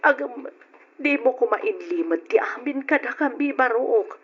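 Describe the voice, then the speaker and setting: A woman's voice: high voice, lively intonation, measured pace. An actress playing an ashamed, sympathetic middle-aged mother-in-law in an Ilocano radio drama, with an Ilocano accent. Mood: consoling. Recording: muffled, dull old radio drama recording.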